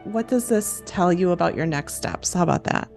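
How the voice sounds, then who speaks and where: A woman with a creaky voice hosting a casual coaching chat, affirming and reflecting back what she hears. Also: on the low side, expressive, moderate pace, a little mellow, soft background music. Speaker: host and creativity coach.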